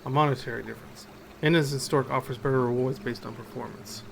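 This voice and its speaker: A man's voice: mid-range voice, fairly even intonation, fast, creaky, background noise, close to an everyday voice. A man voicing proud, distrustful hunters from a fantasy game, curt and dismissive, as he reads on stream. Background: game music.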